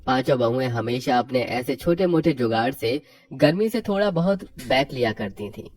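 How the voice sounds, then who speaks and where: A woman's voice: a bass voice, animated, moderate pace, darkish tone, distant mic. A woman narrating a scripted moral story.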